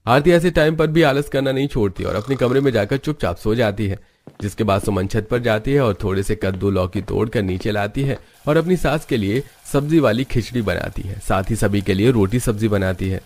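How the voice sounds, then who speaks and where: A man's voice: lowish voice, brisk, a little mellow. A man narrating a scripted moral story, with noise in the recording.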